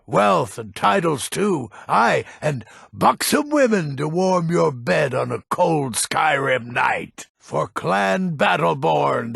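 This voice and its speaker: A man playing a grumpy, proud old warrior nobleman in a fantasy video game, blustering and haughty with a gravelly, raspy voice. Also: mid-range voice, no echo, clean studio sound, full character voice. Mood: boastful. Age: older. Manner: blustering, haughty, drawn-out emphatic delivery.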